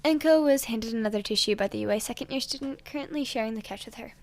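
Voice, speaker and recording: A woman narrating fan fiction as an amateur audiobook reader, in an expressive storytelling style. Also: fairly high pitch, fast talker.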